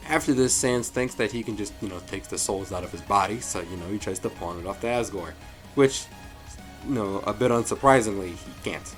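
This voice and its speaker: A man giving a casual, jokey review commentary as the host, with animated delivery and frequent asides. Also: lowish voice, moderate pace. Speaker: host of a fan story review show. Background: music.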